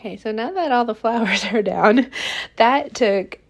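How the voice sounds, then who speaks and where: Croaky breathy lady in her 30s doing a card craft vlog, gravelly, laughs a lot. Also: on the high side, normal speed, soft background music. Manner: chatty, laughs often. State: hoarse, recovering from illness.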